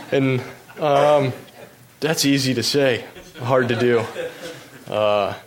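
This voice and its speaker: A young American man, a pro bike racer, telling stories about his races while showing slides, relaxed and full of fillers, laughing at himself now and then. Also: medium pitch. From United States. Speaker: pro cyclist giving a talk. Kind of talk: storytelling presentation with slides.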